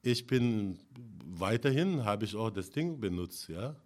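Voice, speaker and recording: A man from Senegal speaks German in a relaxed conversation. His pitch moves around a lot, and he keeps dropping in short agreeing words as he talks. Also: measured pace. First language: not German. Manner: warm, repeats short affirmations, laughs often. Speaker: guest. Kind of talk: casual conversation.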